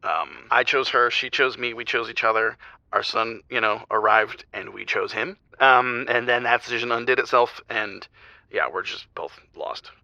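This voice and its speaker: An actor playing a heartbroken, self-blaming husband calling a radio show in an audio drama, halting and confessional. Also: male voice, mid-range voice, fairly even intonation, creaky, bright, forceful tone, no room sound. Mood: sad, resigned. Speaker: radio call-in show caller. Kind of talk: naturalistic audio drama acting. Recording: dull, band-limited phone-call sound.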